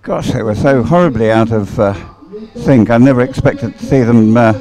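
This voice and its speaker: A man's voice: mid-range voice, moderate pace, warm tone, faint music behind, rough recording. A British man commentating live on a race in a conversational, somewhat hesitant way, thinking aloud.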